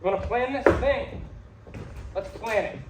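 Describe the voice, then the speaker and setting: A woman's voice: a little high, normal speed, distant mic, close to an everyday voice. A woman acting a practical young newlywed husband in a stage comedy-drama, dry, sarcastic and exasperated. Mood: snippy, sarcastic. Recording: echoey room with background noise.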